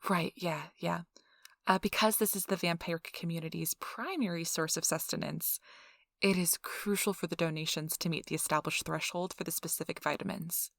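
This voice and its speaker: Girl doing asmr vampire blood bank interviewer lady, chatty n officious, kinda low creaky voice. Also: fairly even intonation, moderate pace, a bit bright, no room sound, studio quality. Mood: polite, businesslike. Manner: polite, officious, chatty, reassuring. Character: chipper, gossipy female interviewer at vampire blood donation center. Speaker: ASMR role-play performer. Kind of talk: ASMR role-play interview.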